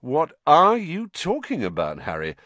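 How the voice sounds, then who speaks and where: A man's voice: fairly high pitch, wildly swooping pitch, unhurried, a bit bright, no echo, voice pushed up, full character voice. A man playing a gushing, vain wizard teacher. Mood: boastful. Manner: gushing, self-important. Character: vain, pompous grown male wizard teacher. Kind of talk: audiobook character voice.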